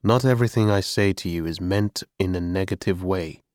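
A man's voice: sits low, fairly quick, darkish tone, no room sound, studio quality, close to an everyday voice. A man voicing a stubborn, tired middle-aged father, critical but caring, in an audiobook story. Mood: weary. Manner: weary, firm, matter-of-fact.

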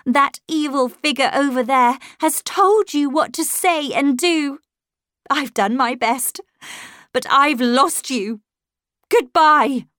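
A woman's voice: high voice, slow, sharp tone, no echo, studio quality, voice pushed up, full character voice. A woman voicing a desperate, accusing young governess in a storybook, insistent, emphatic and impassioned. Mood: bitter despair.

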